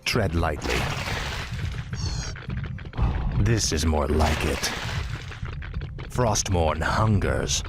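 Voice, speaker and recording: An actor playing a cold, arrogant undead knight commander in a fantasy strategy game, imperious, cruel and deliberate. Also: male voice, extremely low pitch, expressive, heavily acted. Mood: cold contempt. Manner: imperious, menacing, slow and deliberate. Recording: loud game music over voice.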